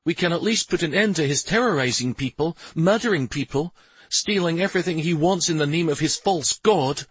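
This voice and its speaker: A man voicing an angry, commanding prince bent on justice in a fantasy audiobook, speaking forcefully. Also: lively intonation, a little slow, husky, no echo. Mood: righteous anger. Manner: forceful, commanding, low intense speech. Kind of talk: fantasy audiobook character dialogue.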